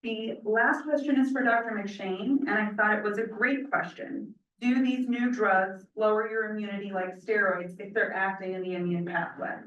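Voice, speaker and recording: A woman moderator briskly reading out audience questions to the panel. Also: mid-range voice, steady tone, fairly bright tone. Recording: dull distant echoey sound.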